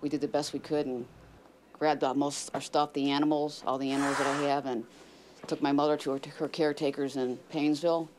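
A woman's voice: deep voice, flat delivery, fast, background noise. A middle-aged woman, an evacuated resident, speaking in her home in an emotional, unpolished way. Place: indoors at home.

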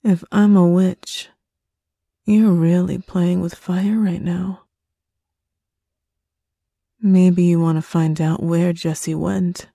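A woman playing a slow-talking, coyly menacing witch girlfriend. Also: fairly low pitch, level tone, creaky, warm tone, no room sound, clean studio sound, full character voice. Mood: veiled menace. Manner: teasing, coy, sweetly threatening. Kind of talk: ASMR roleplay monologue.

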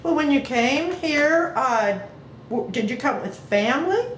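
A woman's voice: sits high, lively intonation, a little slow. A woman interviewing an elderly man at home for an oral history, asking plain, prompting questions. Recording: echoey room with faint hiss.

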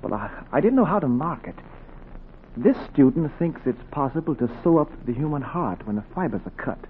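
A man's voice: a little high, big pitch swings, fairly quick. An actor playing an earnest, deferential young medical apprentice in a 1940s radio drama, polite and careful in his speech. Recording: tinny old radio, faint noise.

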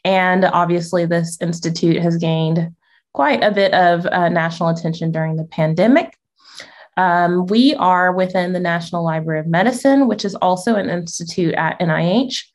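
A woman's voice: fairly low pitch, normal speed. A woman presenting over a video call, friendly and explanatory, with light laughs now and then. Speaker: conference presenter, associate director. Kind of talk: virtual conference presentation.

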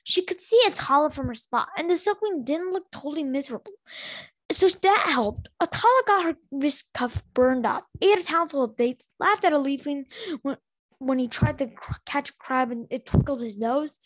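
A woman's voice: high voice, lively intonation, moderate pace, low-quality recording. A woman reading a fantasy novel aloud as an amateur, stumbling over words and correcting herself. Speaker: amateur book reader.

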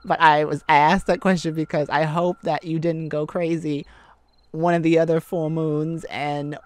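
A woman playing an excitable, affectionate mage sweetheart in a romantic ASMR roleplay, with a gushing, easily flustered, rambling manner. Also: deep voice, moderate pace, no echo, close to an everyday voice. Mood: gently teasing.

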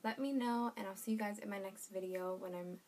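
A young woman in her twenties with a croaky, sore-throated voice, chatting casually to camera as she unboxes a subscription box in her bedroom. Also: mid-range voice, fairly even intonation, brisk, a little mellow, slight background noise. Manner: chatty, reads product labels aloud. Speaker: beauty and lifestyle vlogger. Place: small bedroom in front of a red wall.

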